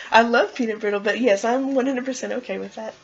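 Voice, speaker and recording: A woman's voice: fairly high pitch, moderate pace, breathy edge, lo-fi sound. A young woman chatting eagerly and casually as an applicant answering questions in a job interview. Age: young adult.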